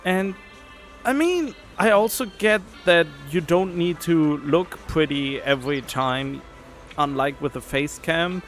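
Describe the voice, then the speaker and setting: A man's voice: fairly high pitch, animated, slow, fairly bright tone, soft background music. A man streaming a game with casual, rambling commentary, thinking aloud as he chats. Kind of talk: casual chatty livestream commentary.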